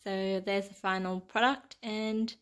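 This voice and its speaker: A woman's voice: medium pitch, steady tone, unhurried, fairly bright tone, echoey room. An Australian woman narrating a casual cooking vlog step by step, talking to her viewers in her home kitchen. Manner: chatty, step-by-step, addresses viewers as guys. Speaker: cooking vlogger.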